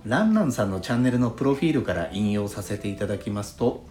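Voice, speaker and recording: A man's voice: on the low side, animated, fast talker. A male podcast host chatting politely as he reads out listener letters, with formal, courteous phrasing. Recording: roomy echo, faint background music. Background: soft lo-fi flute jazz music.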